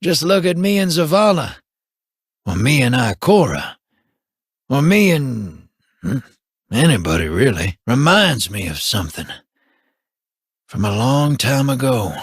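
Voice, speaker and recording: An actor playing a wry, easygoing scoundrel in a video game, husky and colloquial, with a drawling delivery. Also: male voice, medium pitch, very animated, measured pace, long pauses, no room sound, studio quality. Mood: musing. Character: wry, roguish, easygoing space scoundrel man.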